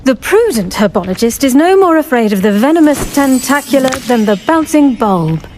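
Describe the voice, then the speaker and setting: An actress playing a cheerful, flowery herbology professor, in scripted fantasy game dialogue. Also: female voice, sits high, very animated, normal speed, a little mellow, slight background noise. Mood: cheerful, enchanted.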